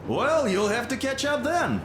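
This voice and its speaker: A man's voice: extremely high pitch, wildly swooping pitch, unhurried, reverberant, background noise, full character voice. A man playing an amused, easygoing soldier in a video game, speaking in a casual, teasing way. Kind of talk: dramatic video game acting.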